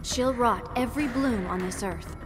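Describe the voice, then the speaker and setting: A woman's voice: on the high side, moderate pace, music in the background, close to an everyday voice. A woman voicing a troubled, defiant young woman possessed by a demon, in a video game. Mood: grim warning. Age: young adult.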